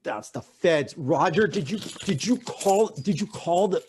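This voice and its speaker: A man's voice: high voice, lively intonation, measured pace, noisy background. A man playing an intense, desperate and menacing conspiracy believer in a one-act play. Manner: pleading, threatening, intense.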